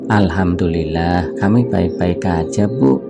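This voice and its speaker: Man as humble caretaker, very low, gravelly, deferential. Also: steady tone, measured pace, muffled recording. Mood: polite. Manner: polite and deferential. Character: village house caretaker, humble and dutiful. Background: music.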